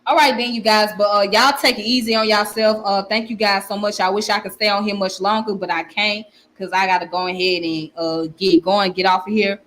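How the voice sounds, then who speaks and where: A woman's voice: medium pitch, steady tone, a little fast, few pauses, a bit bright. A woman with a strong Southern accent, hosting a casual livestream where she reads comments and often repeats her phrases. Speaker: channel host.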